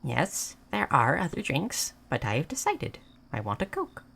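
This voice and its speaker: A woman playing a gentle, naive moth person in a roleplay audio, speaking in halting, simple, formal phrases. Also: deep voice, huge pitch swings, vocal fry, heavily acted. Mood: curious, hesitant. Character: gentle, naive, curious moth person learning human things. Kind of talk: scripted roleplay audio addressed to the listener.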